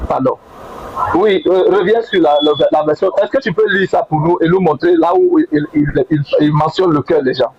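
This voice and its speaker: High lively guy pushing hard in an online debate, repeats himself a lot, muffled with loud noise. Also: moderate pace. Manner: insistent, repeats words and pleads. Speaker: debate host.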